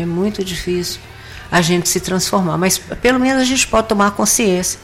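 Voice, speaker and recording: A Brazilian woman with a hoarse voice and a cough, giving a rambling spoken religious reflection full of fillers. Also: on the low side, slight background noise. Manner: meandering, with many fillers and restarts. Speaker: lay speaker giving a weekly reflection.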